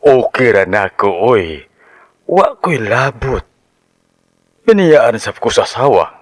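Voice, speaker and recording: A man's voice: medium pitch, big pitch swings, takes it slowly, music in the background. An actor playing a pushy, amorous man of sixty-three in a radio drama, with a Central Visayan Filipino accent. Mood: nonchalant, flirtatious. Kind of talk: Cebuano radio drama acting.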